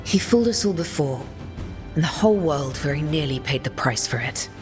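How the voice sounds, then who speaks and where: A low-pitched woman as a serious game commander. She is firm and earnest, with music clearly playing behind her. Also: animated, fairly quick. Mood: resolute.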